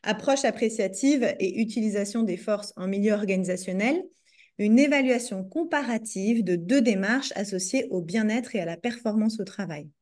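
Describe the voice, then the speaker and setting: A woman's voice: medium pitch, normal speed, a little mellow. A woman with a French accent, presenting her doctoral research to a group over a video call, hesitating often with fillers and restarts. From France. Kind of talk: academic presentation.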